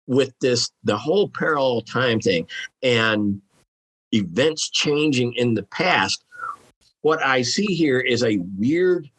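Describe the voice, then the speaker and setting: A man with a husky voice talking as a guest author on a podcast, thinking aloud in a halting, musing way. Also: mid-range voice, lively intonation, fairly bright tone, poor audio. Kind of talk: conversational podcast discussion.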